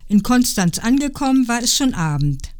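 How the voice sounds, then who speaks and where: An older woman from Berlin, reading aloud from her own written story in a calm, reflective way. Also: a little high, normal speed. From Berlin, Germany. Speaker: guest reading her memoir.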